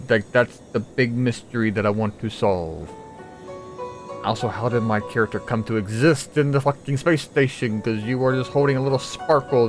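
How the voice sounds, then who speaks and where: A man with a fairly low voice talks casually as he plays a video game. He thinks aloud in an informal, sometimes sweary way, while clear game music plays behind him. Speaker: gamer recording a playthrough. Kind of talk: casual reactive gameplay commentary.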